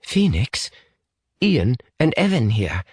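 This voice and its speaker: A man's voice: medium pitch, big pitch swings, takes it slowly, breathy edge, no room sound, clean studio sound, pitched above the performer's own voice, exaggerated voice. A man voicing a stiff, formal young British man with precise wording, anxious beneath it, in an audiobook story. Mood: guarded.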